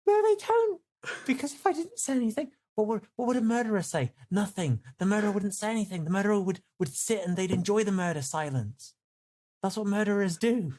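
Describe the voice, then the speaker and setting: A woman playing a tabletop role-playing game, improvising casual in-character chat with halting, questioning delivery. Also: medium pitch, expressive, warm tone.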